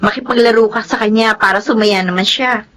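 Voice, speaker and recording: An actress playing a kindly, devoted nanny in a Filipino radio drama, narrating and acting with a gentle, caring manner. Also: female voice, fairly high pitch, steady tone, brisk, natural voice. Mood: caring, concerned. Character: kindly, devoted nanny and mother telling her story. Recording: music bed behind the voice.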